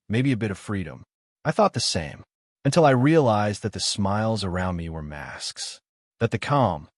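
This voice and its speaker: A man narrates a horror tale in short, suspenseful bursts, his lowish voice rising and falling sharply from phrase to phrase. The sound is close and dry, with no room around it. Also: no room sound. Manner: halting, suspenseful delivery in short broken phrases. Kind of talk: dramatic first-person story narration.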